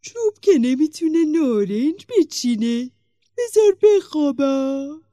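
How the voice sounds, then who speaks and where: A woman voicing a grumpy, roaring demon giant in a storybook tale, with loud, drawn-out bellowing speech. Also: sits high, very animated, dry recording, clean studio sound, voice pushed up. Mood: sleepy, annoyed.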